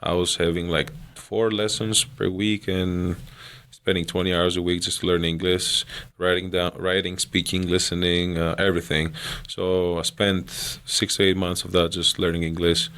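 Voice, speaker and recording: A man's voice: sits low, level tone, normal speed, a bit bright. A young man from Greece with a Greek accent, chatting earnestly as an interview guest and laughing often. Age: young adult. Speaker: guest athlete. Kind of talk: casual interview chat.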